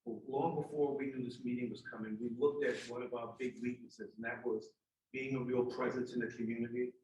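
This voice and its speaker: An echoey, slightly distant recording of a man in his sixties speaking at a forum. His accent is Northern US with a New England tinge, and his pitch is medium. He talks in a candid, plain-spoken way, like an official addressing an audience. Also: fairly even intonation, fairly quick, darkish tone, slight background noise. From Charleston, South Carolina. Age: 60s. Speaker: corrections official, guest speaker. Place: indoor room at a public forum. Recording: echoey room, distant microphone.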